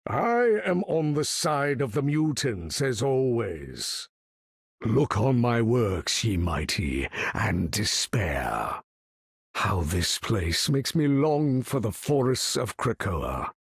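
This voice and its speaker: An actor playing a proud, imperious older mutant leader in a video game, speaking in a grand, commanding, formal manner with a husky, gravelly voice. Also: male voice, medium pitch, very animated, slow, no echo, clean studio sound, full character voice. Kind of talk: theatrical video game character acting.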